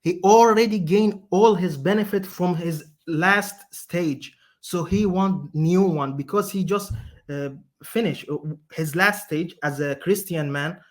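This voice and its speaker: A man who speaks English as a second language calls in to argue, stumbling and starting over again and again. His voice sits well above the usual male range. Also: high-pitched. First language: not English. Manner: halting, with many restarts and fillers. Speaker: caller. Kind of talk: arguing on a call-in stream.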